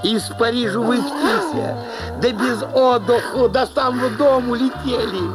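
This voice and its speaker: A man narrating a folk tale as an animated storyteller in an audio play. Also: very high-pitched, normal speed, over music.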